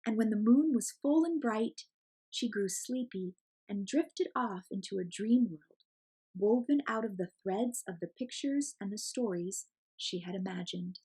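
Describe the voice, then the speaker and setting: Woman reading a children's story, slightly husky. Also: medium pitch, expressive, unhurried, dark tone, poor audio. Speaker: storyteller reading a picture book aloud. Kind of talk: expressive children's read-aloud narration.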